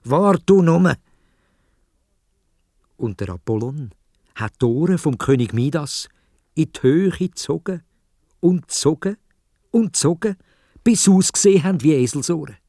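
A man's voice: on the high side, animated, moderate pace, mellow, no room sound, clean studio sound. A man with a Swiss German accent telling a story for children in a dramatic way, addressing his listeners directly.

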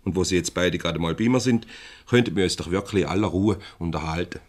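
An actor with a Swiss German accent playing a formal, slightly pompous, placating company director in a radio comedy. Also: male voice, low-pitched, fast talker. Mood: reasoning. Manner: formal, pompous, hesitant when mediating. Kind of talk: 1970s radio comedy acting.

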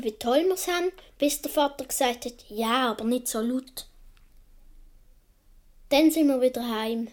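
A woman's voice: high voice, a little mellow, natural voice. A woman with a Swiss accent playing a naive young boy of seven or eight, telling his story in a radio play. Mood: naive, earnest.

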